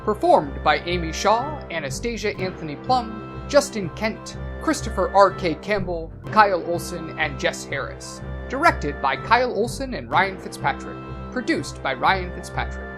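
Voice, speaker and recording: A woman's voice: on the low side, lively intonation, normal speed, music in the background. A woman reading scripted closing credits as an announcer for an audio drama.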